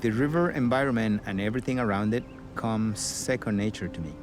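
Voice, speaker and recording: A middle-aged man from Costa Rica with a Costa Rican accent, a river guide talking in a relaxed, reflective documentary voice-over. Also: fairly low pitch, a little fast. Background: music.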